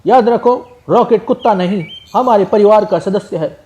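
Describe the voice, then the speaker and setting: A man's voice: high-pitched, moderate pace, warm tone, slight background noise, voice pushed up, close to an everyday voice. A man voicing a shrewd, confident old village uncle with an assured, admonishing manner.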